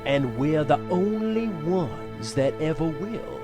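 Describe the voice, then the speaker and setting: A scheming, controlling husband in an audio drama. He speaks slowly and insistently, with wide swings of pitch, over music. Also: expressive. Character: TJ, defensive scheming husband covering up a death.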